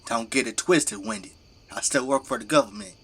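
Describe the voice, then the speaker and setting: A man's voice: mid-range voice, brisk, fairly bright tone, breathy edge. An actor playing a middle-aged, devoted father and plain-spoken government zombie fighter in an audio drama. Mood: easygoing. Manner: commanding, plain-spoken, folksy turns of phrase. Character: gruff, devoted father working as a zombie-burning government janitor.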